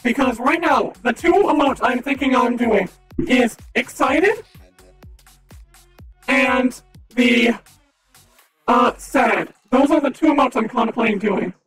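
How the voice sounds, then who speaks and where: A man streaming a game live who chats casually and upbeat to his viewers, with a slightly raspy voice. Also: extremely high pitch, takes it slowly, pauses often, a bit bright, faint music behind. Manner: chatty, upbeat, talks to chat.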